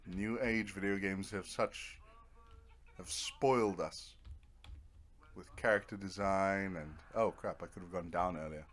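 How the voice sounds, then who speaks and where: A man's voice: low voice, fairly even intonation, a little slow, fairly bright tone. A man giving casual, rambling commentary over a video game, with a dry, self-deprecating sense of humor. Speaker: video game let's player.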